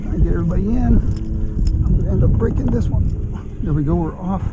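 A man's voice: high-pitched, quick pace, highs cut off. A man fishing from a kayak, talking to the fish as he reels it in, recorded on a boat-mounted camera out on open water. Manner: talks to the fish, encouraging and coaxing. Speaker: fishing vlogger. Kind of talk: narrating while fishing. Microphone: action camera mounted on kayak. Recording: loud wind noise outdoors. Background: strong wind noise.

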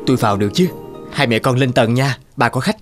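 A polite but firm male social worker from a cartoon, voiced by an actor. He speaks in a formal, measured, official way, with faint music behind him. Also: mid-range voice, expressive, lightly acted. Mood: businesslike. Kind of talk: animated cartoon dubbing.

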